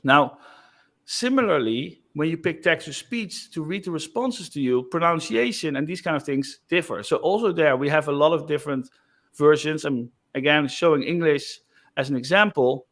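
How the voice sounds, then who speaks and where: Male presenter, slightly high voice, relaxed, casual walkthrough of a software feature. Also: moderate pace. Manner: loose and chatty, frequent "like" and "uh" fillers. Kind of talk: casual screen-share product demo.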